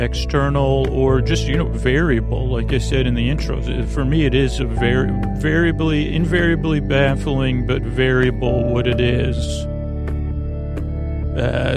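A man hosting a bedtime podcast in a creaky, soothing voice, rambling haltingly and circling back on himself in meandering tangents. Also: mid-range voice. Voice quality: creaky, gravelly. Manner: soothing, lulling. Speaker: podcast host.

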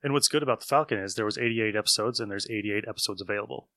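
A man's voice: fairly low pitch, rapid-fire, pushed and bright. A man co-hosting a podcast, talking casually about old radio shows. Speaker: podcast co-host.

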